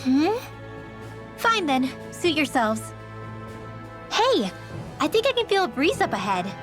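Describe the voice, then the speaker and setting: High-voiced, pert teenage girl. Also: very animated, slow, a bit bright, heavily acted. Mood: needling. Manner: pert, needling. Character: spirited, nosy, teasing teenage girl adventurer. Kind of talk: video game voice acting. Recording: studio voice over game music.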